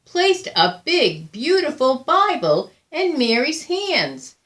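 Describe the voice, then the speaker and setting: A woman reading a children's picture book aloud with deliberate, expressive phrasing. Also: high-pitched. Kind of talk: read-aloud children's storytelling.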